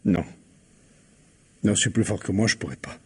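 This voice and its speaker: An actor playing a weak-willed, anxious and devoted husband in a vintage French radio mystery, pleading and hesitant. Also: male voice, on the low side, normal speed, husky. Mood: distressed.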